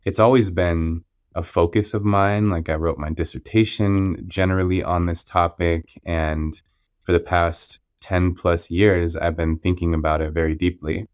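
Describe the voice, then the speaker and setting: Low, flat-voiced man hosting a podcast. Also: smooth, dry recording, clean studio sound. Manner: measured, with frequent restarts and "you know". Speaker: podcast host. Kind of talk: interview intro, earnest and reflective.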